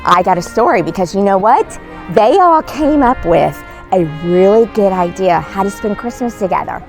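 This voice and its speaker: A woman telling stories to young children in an expressive, coaxing, exclaiming read-aloud manner. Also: mid-range voice, normal speed, soft background music, low-quality recording. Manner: animated, exclaiming, coaxing, dramatic gasps. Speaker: costumed storyteller as Mrs. Claus.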